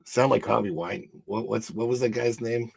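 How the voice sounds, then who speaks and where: A man on a discussion panel, hoarse from being ill, with a gravelly, scratchy voice. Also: mid-range voice, moderate pace, darkish tone, dry recording. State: hoarse from illness.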